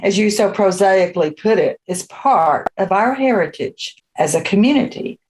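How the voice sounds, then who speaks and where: A woman's voice: medium pitch, darkish tone, rough recording. A woman playing a stern, haughty leader of a rural witch coven in a radio play, speaking in a formal, condescending way. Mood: proud.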